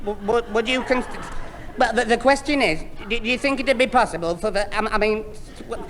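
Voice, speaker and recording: A man's voice: very high-pitched, brisk, a bit bright. A man playing a bashful, gormless young suitor who stammers and dithers in a Northern English accent in a 1960s radio sitcom. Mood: tongue-tied. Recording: echoey hissy vintage radio recording.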